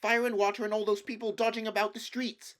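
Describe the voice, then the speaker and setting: A man's voice: sits high, little pitch movement, fast talker, fairly bright tone, pitched above the performer's own voice. A man voicing an anxious, inquisitive young schoolgirl in a storybook reading, breathless and worried. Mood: alarmed.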